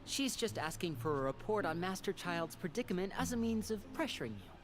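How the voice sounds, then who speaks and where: A suave young male stage magician from a video game, played by a woman, pitched low. He talks smoothly and theatrically, playful yet secretive, over clear background music. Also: animated, brisk, lightly acted. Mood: confiding. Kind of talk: video game character acting.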